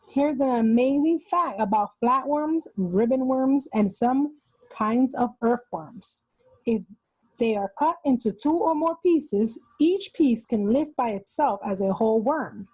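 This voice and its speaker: A woman's voice: fairly high pitch, darkish tone, slightly rough, no room sound, low-quality recording. A woman reading a children's nonfiction book aloud, explaining as she goes and pointing out the pictures. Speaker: read-aloud host for children.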